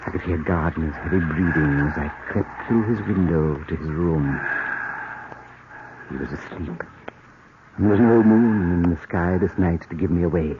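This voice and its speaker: An actor playing a cunning, deranged murderer, confessing in a theatrical, brooding, repetitive 1940s radio-drama style. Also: male voice, low-pitched, moderate pace, close to an everyday voice. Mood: brooding, stealthy. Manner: theatrical, brooding, obsessively repeating himself. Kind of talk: 1940s radio acting, first-person narration. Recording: noisy muffled old radio recording.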